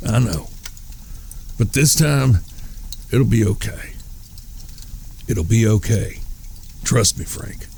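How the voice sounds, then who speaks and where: Man acting a gravelly, stern outlaw big brother. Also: on the low side, takes it slowly, pauses often, dark tone, a little hiss or hum. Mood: earnest, reassuring. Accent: American Southern. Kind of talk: Western audio drama acting.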